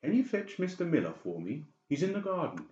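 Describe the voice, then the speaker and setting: A man's voice: on the high side, wildly swooping pitch, fairly quick, dark tone, roomy sound, dull audio, pitched above the performer's own voice. A man voicing a cold, manipulative doctor in a horror audiobook, mock-polite and menacing. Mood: brisk, smug. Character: cold, conniving, balding doctor, falsely soothing villain. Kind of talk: dramatised horror audiobook dialogue.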